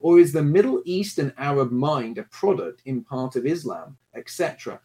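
A man hosting a livestream, briskly reading out donor messages and questions. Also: mid-range voice, animated, mellow. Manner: brisk, reads messages aloud with small laughs. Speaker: livestream host reading donations. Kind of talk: casual livestream chat.

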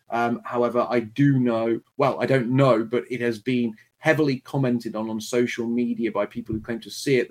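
A man hosting a livestream, briskly reading out donor messages and questions. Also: medium pitch, darkish tone. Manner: brisk, reads messages aloud with small laughs. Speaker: livestream host reading donations. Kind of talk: casual livestream chat.

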